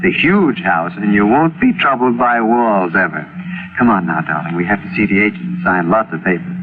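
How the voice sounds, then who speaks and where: An actor playing a devoted, soothing and slightly patronizing husband in an old-time radio mystery. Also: male voice, fairly low pitch, expressive, fairly quick, close to an everyday voice. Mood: cheerful. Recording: tinny, noisy vintage radio recording.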